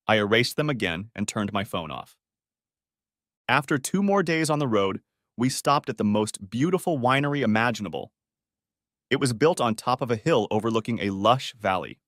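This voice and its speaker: A man's voice: fairly low pitch, expressive, normal speed, a bit bright, no echo, clean studio sound. A man narrating a first-person story from a script.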